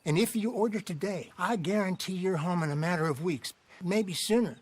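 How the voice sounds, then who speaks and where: An actor playing an eager, pompous frontier house salesman with florid, smooth patter. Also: high-pitched, normal speed. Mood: slick, eager.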